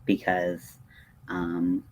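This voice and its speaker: Slow-talking man with a low voice. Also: completely flat delivery, low-quality recording. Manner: hesitant, digressive, self-deprecating. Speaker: livestream host. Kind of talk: casual rambling livestream chat.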